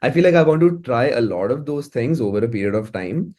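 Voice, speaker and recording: A man with an Indian English accent speaks in a casual interview style. His voice sits at a medium pitch, and he talks conversationally, repeating words and telling things in an animated way. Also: brisk. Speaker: music producer and musician being interviewed.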